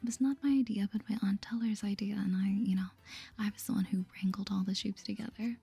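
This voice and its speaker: A woman voicing a polite, hesitant young shopkeeper heroine from a cozy game in a soft whisper. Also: mid-range voice, fairly even intonation, quick pace, creaky, breathy edge. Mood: proud.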